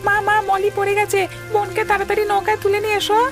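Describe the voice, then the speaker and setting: Lady doing an eager cartoon kid, really really high voice, childlike, soft music behind. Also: completely flat delivery, rapid-fire. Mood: alarmed. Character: eager, admiring child, older sibling. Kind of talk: Bengali cartoon voice acting.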